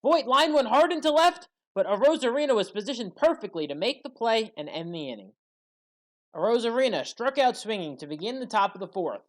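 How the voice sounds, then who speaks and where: Woman sports commentator, very lively intonation. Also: a little high, fairly quick. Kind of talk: scripted play-by-play recap commentary.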